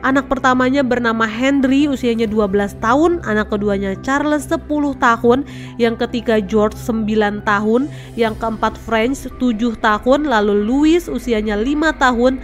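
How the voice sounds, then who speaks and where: A woman's voice: a little high, moderate pace. A young woman casually telling a story to her viewers in a chatty, conversational way. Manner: chatty, addresses viewers as guys. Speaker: host. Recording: faint background music.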